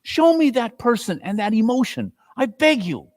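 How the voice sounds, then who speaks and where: A shaken miserly gentleman from a storybook, as a reader acts him out. He pleads earnestly and fearfully in a lifted voice with lively swings of pitch. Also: normal speed, natural voice. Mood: pleading. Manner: earnest, imploring.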